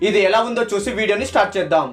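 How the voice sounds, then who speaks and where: A man's voice: sits high, very fast, loud music behind the voice. A man narrating a scripted tech product review as a host, in a casual, playful way.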